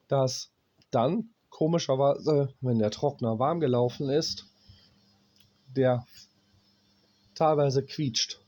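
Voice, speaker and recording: A man's voice: medium pitch, a little mellow. A man with a German accent and a cold explains a dryer repair bluntly, stopping now and then to check parts as he works. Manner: blunt, plain-spoken, swears at cheap gear; pauses while working, talks to himself as he checks parts. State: has a cold, coughing. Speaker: appliance repairman.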